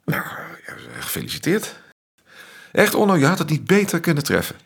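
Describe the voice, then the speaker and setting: An actor playing a cultured, brooding, articulate man in a radio play, with weighted, halting phrasing. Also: a little high, huge pitch swings, vocal fry, slightly rough, heavily acted. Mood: amused, wry.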